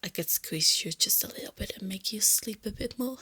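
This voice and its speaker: A woman voicing a female lamia captor in an ASMR roleplay, soft and breathy, teasing her captive with smug, possessive tenderness. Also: mid-range voice, brisk. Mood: whispered taunting. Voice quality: breathy, often dropping to a whisper. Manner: soft, taunting, condescending, intimate.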